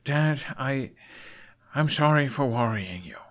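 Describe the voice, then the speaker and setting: A man's voice: medium pitch, lively intonation, slow pace, a bit bright, pitched above the performer's own voice. A man voicing an anxious, earnest young son who pleads and stammers, in an audiobook-style story reading. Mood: apologetic, earnest.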